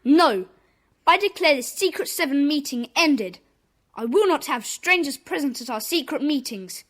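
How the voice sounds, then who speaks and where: A bossy schoolboy in a children's radio play, high-voiced and commanding. The delivery swings up and down with brisk indignation as he runs his secret club. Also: expressive, fairly bright tone. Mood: indignant. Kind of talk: children's audio drama acting.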